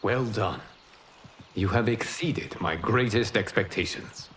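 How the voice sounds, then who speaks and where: A man's voice: fairly low pitch, takes it slowly, a bit bright, a little hiss or hum. A man playing a dignified, protective mentor lord in a video game, speaking formally and solemnly. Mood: approving. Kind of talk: dramatic video game cutscene acting.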